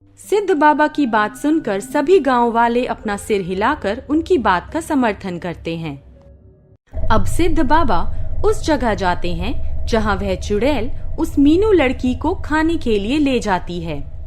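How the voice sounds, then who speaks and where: A woman storyteller with a high voice and very animated intonation. She reads a scripted bedtime tale expressively, with faint music behind her. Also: unhurried. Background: faint background music.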